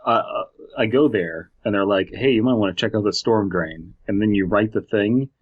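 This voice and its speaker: A man's voice: lowish voice, moderate pace, no room sound, phone-quality sound. A man casually critiquing on a podcast, blunt and sarcastic, with some swearing. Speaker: podcast panelist. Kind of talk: casual critical discussion and reading aloud.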